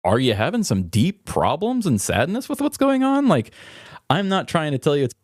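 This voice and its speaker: A man's voice: a little high, big pitch swings, normal speed, a little mellow, dry recording, clean studio sound. A man co-hosting a podcast, talking casually in an earnest, reflective, confessional way. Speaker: podcast co-host.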